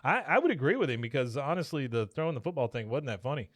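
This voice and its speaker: A man's voice: medium pitch, very animated, quick pace, no room sound. A man co-hosting a podcast in casual, enthusiastic banter, with some salty language. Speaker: podcast co-host.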